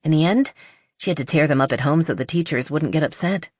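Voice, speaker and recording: Female reader as bubbly, enthusiastic young woman in a romance audiobook, lifted pitch, dry sound. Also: fast, darkish tone, no room sound. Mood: happy, reminiscing. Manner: chatty and enthusiastic. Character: cheerful, eager, artsy young woman in love. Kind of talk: audiobook character dialogue.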